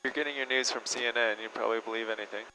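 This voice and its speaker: A man chats quickly and dryly in a bright, forward voice with very little rise or fall. He is a passenger on an aviation headset, with faint engine noise around him. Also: mid-range voice, fairly even intonation, rough recording. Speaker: passenger, pilot's husband. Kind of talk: casual chat. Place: small turboprop cockpit in flight.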